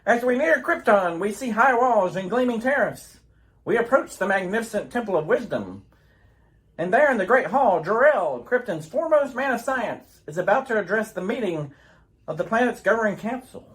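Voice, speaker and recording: A woman announces and narrates in the style of a vintage radio serial. Her delivery is theatrical and dramatic, with lively swings of pitch. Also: moderate pace, rough recording. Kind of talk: scripted old-time radio serial narration.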